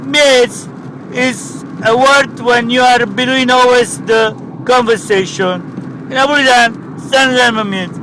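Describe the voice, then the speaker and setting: A man's voice: extremely high pitch, steady tone, a little slow, bright, forceful tone, a little hiss or hum, pitched above the performer's own voice, exaggerated voice, low-quality recording. A man with an Italian accent playing a rambling, pompous professor in broken English. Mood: grandiose.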